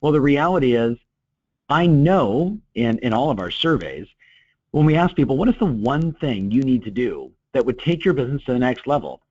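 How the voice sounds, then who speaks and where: A middle-aged man talks in an energetic, motivational way, padding his sentences with "you know". His voice sits at an average pitch. The audio is dry and a bit dull, like a webinar recording. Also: moderate pace, no room sound. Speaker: real estate coach presenting a webinar. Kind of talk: webinar talk.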